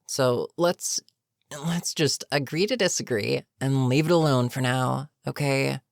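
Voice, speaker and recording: A voice actor playing an older, experienced villain in an audio roleplay, weary and dryly sarcastic but reluctantly protective, with a wry, deliberate delivery. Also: mid-range voice, vocal fry, no echo, clean studio sound. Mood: weary, firm. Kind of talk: intimate audio roleplay monologue.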